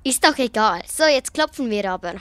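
A woman's voice: a little high, lively intonation, normal speed, a bit bright, smooth, dry recording. A young woman acting an eager, earnest girl detective in an amateur radio play.

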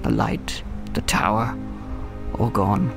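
An actor playing a lonely, brooding older lighthouse keeper in an audio drama, speaking in a wistful, poetic storyteller's way. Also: male voice, fairly low pitch, steady tone, slow pace, gravelly. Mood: melancholy. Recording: music under the voice.

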